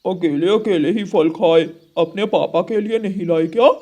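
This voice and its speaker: Cartoon crow dad male performer: extremely high pitch, doting, plaintive, emotional. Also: moderate pace, warm tone. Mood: playful, affectionate. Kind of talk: children's animal cartoon voice acting.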